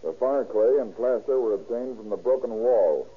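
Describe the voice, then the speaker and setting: A man's voice: mid-range voice, normal speed, slightly rough, natural voice. An American man playing a matter-of-fact, self-assured police chemist in a 1930s radio crime drama. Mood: confident, explaining. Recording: muffled, tinny old radio recording.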